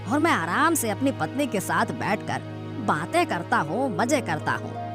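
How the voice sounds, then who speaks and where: A woman's voice: mid-range voice, sing-song, measured pace, over music. A woman voicing an idle village man, a father of a grown son, in an emphatic cartoon-story style. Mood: boastful.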